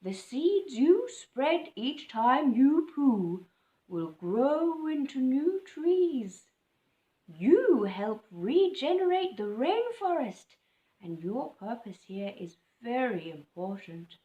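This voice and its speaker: A woman's voice: sits high, sing-song, slow pace, warm tone, echoey room, highs cut off, pitched above the performer's own voice, heavily acted. Woman doing a wise old she-owl. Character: wise old female owl, calm and kind.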